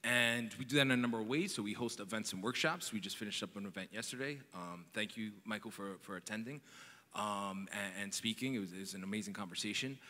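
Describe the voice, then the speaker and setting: A man's voice: fairly low pitch, fast, sharp tone. A man from New York telling his story earnestly and energetically on a conference panel. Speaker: panelist, nonprofit founder. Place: large conference hall. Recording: long hall reverb.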